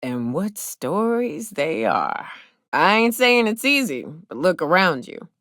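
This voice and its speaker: Casting: a gruff, hard-drinking frontier huntress for a game. Low-pitched, folksy and sardonic, with swooping, animated delivery. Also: female voice, slow, no room sound, studio quality, full character voice. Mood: boastful, wry. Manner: folksy, blunt, sardonic drawl. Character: hard-drinking, gruff, wry frontier woman guide and hunter. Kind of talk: video game character dialogue.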